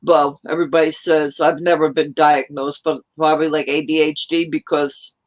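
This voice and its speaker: A woman from New York chatting casually on a live stream, rambling in a loud, hyper way. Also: low voice, level tone, moderate pace. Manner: rambling, hyper, self-described loud talker.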